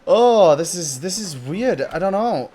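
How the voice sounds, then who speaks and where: A man's voice: high voice, animated, measured pace, mellow, soft background music. A man giving casual reaction commentary as he plays a game, musing aloud and swearing casually. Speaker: gaming video creator.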